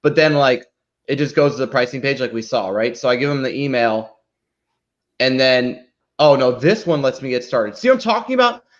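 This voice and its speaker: A man's voice: mid-range voice, animated, normal speed. A man hosting a live show, casually presenting and critiquing in an emphatic, informal way, often tagging points with a question for agreement. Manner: peppers talk with tag questions seeking agreement. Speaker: host of a live marketing show. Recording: dull lo-fi audio.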